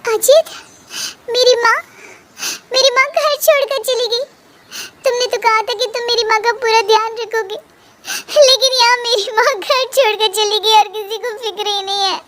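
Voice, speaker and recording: A woman voicing an indignant young wife, very high. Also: moderate pace, a bit bright. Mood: distraught. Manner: indignant and pleading.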